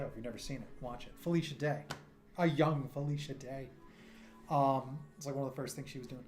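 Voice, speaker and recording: A man in his thirties with a New York accent, chatting casually and animatedly while livestreaming his art from home. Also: fairly high pitch. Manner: animated, self-deprecating, loose and chatty. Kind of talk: casual rambling livestream chat. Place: home streaming room. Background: soft synth music.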